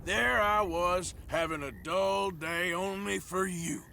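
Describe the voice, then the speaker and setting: Sardonic, weary outlaw cowboy. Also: male voice, sits high, steady tone, slow pace, gravelly, pushed and bright, a little hiss or hum, heavily acted. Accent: American Western drawl. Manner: dry, grumbling, blunt. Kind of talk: video game cutscene acting.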